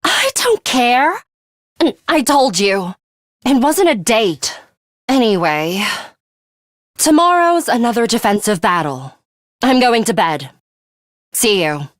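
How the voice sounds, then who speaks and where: An actress playing a proud, imperious young woman in a dramatic video game, haughty and deliberate, with a fatalistic streak and a hidden caring side. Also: a little high, big pitch swings, no echo, clean studio sound. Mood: flustered, dismissive. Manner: haughty, deliberate, breathy delivery with hushed drops.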